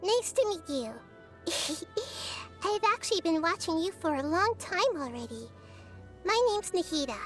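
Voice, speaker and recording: A woman voicing a wise, curious little girl deity in a video game, kind and childlike, with a gentle, thoughtful, precocious manner. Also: very high-pitched, animated, unhurried. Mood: curious, friendly. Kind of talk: video game character voice lines. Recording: faint music behind the voice.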